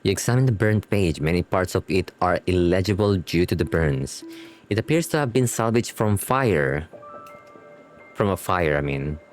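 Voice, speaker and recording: Animated, low-pitched man reacting to a game. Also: normal speed, soft background music. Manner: informal slangy asides. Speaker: streamer reacting to a game story. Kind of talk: casual live gameplay reaction, reading on-screen text aloud.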